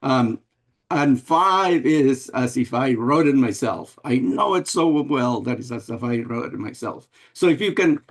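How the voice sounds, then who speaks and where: An older man from America, giving an unhurried webinar presentation over a video call and often restarting his phrases. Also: medium pitch, animated, vocal fry. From Guatemala and El Salvador. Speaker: guest presenter, math educator.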